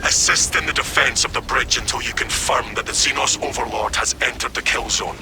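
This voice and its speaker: An actor playing a stern military commander issuing clipped orders over comms. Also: male voice, medium pitch, a little fast, vocal fry, noisy background, lightly acted. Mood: commanding.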